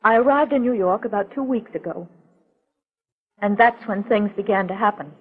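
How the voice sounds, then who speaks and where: A woman's voice: mid-range voice, moderate pace, breathy edge, natural voice. An actress playing a frightened but composed woman, telling her story earnestly and hesitantly in a 1940s radio mystery. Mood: urgent. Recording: muffled, tinny 1940s radio recording.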